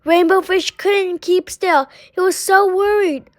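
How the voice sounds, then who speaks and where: A woman with a high voice reads a children's story aloud. Her pace is very slow and halting, with small stumbles as she goes. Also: fairly even intonation. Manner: halting, stumbling over words. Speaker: storybook reader.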